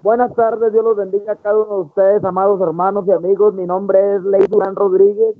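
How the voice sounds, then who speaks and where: A man gives an informal theological talk as a preacher, halting and repeating phrases, over a tinny live stream. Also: high-pitched, little pitch movement, dry recording. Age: 20s. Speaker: preacher hosting a live church broadcast.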